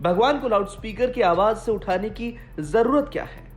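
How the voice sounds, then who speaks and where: A woman's voice: medium pitch, expressive, normal speed. A woman presenting news in a scripted narration style, introducing political reports. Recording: voice-over with faint music bed.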